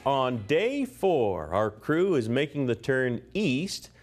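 A man's voice: mid-range voice, very animated, slow. A middle-aged man anchoring from a TV studio, reading a scripted news introduction. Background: loud guitar music.

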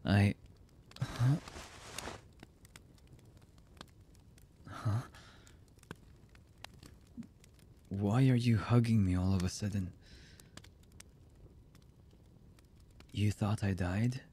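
An actor playing a brooding, distrustful young anime villain in a boyfriend ASMR roleplay, with a husky, breathy voice and halting, hushed delivery. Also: male voice, lowish voice, steady tone, slight background noise, close to an everyday voice. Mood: tender, awkward. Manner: slow, halting, hushed delivery with long pauses.

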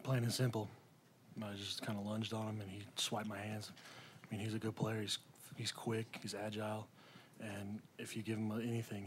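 A young man, a college offensive lineman, answering a reporter quietly and bluntly, taking the blame himself. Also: on the low side. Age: young adult. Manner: quiet, blunt, self-blaming. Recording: distant mic with background noise.

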